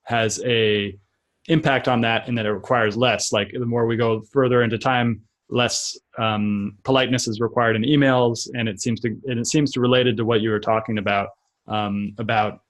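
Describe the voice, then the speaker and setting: A man with a fairly low voice hosts a casual interview. He talks thoughtfully and haltingly, building his questions as he goes. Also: level tone, a bit bright. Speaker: podcast host, interviewer.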